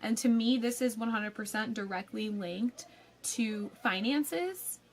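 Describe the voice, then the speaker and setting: Chatty young woman, raspy from a cold. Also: a little high, unhurried, a little hiss or hum. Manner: chatty, with frequent ums and likes. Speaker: tarot reader. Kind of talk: casual tarot forecast reading.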